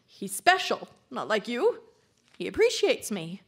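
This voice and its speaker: A high-voiced, haughty, domineering wife. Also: female voice, wildly swooping pitch, unhurried, a bit bright, breathy edge, full character voice. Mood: scolding, haughty. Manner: haughty, scolding, melodramatic. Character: domineering, self-absorbed wife and overwrought romance writer. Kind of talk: live radio drama acting.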